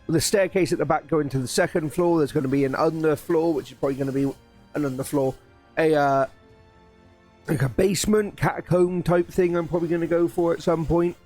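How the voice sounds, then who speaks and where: Streamer: man, somewhat high, a bit croaky, excited rambling talk over soft background music. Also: fairly quick. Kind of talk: casual live-stream chat while building.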